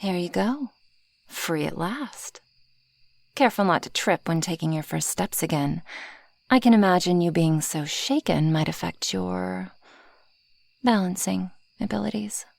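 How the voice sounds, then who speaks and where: A woman voicing a possessive, proud female dragon in an ASMR roleplay, with formal, haughty phrasing and a breathy, husky voice that often drops toward a whisper. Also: lowish voice, unhurried, a little mellow, no room sound, heavily acted. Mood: haughty, teasing. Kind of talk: ASMR roleplay addressed to the listener.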